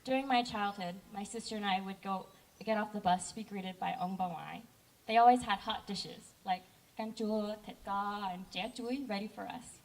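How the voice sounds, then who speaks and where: A woman's voice: medium pitch, moderate pace. An earnest young woman delivers a personal speech to a camp crowd. Her voice sits mid-range and comes across a little distant from the microphone. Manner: heartfelt, earnest, with brief self-corrections. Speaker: camp director giving a speech.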